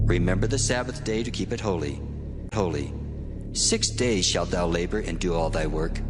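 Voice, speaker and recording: An actor playing God in a dramatized scripture reading, with a solemn, commanding delivery and a reverberant divine echo. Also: male voice, on the low side. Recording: long hall reverb over music.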